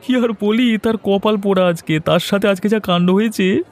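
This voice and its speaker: A man's voice: sits high, normal speed, some chatter behind. A man voicing an earnest, sympathetic, plain-spoken village fisherman in a cartoon. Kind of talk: cartoon dialogue acting.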